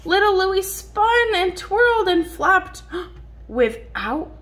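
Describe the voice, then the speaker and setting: A woman reading a picture book aloud to a young child in an expressive, child-directed way, drawing out words. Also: extremely high pitch, slow. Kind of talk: read-aloud storytelling to a young child, with simple questions. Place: indoors at home on a dark wood floor. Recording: noisy home phone recording.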